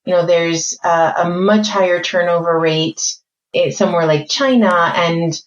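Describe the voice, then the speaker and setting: A woman born in France and raised mainly in Spain, with a mixed accent shaped by American and British English, speaking as a guest in a podcast interview in a measured, articulate way. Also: lowish voice, echoey room, dull audio. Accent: mixed French, Spanish, American and British. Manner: measured, articulate, thinks aloud with fillers. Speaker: corporate learning executive, guest.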